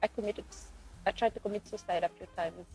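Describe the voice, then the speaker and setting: A woman's voice: mid-range voice, steady tone, a little fast, mellow, soft background music, poor audio. A woman in her late twenties from Fiji, giving a personal account as an interview guest. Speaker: podcast guest, postgraduate student. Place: couch in a room.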